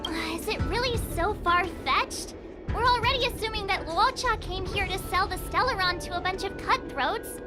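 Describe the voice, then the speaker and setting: A young woman voicing a playful, eager detective heroine in a game dub, bouncy and animated. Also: very high-pitched, normal speed. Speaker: player character. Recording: game dub over music.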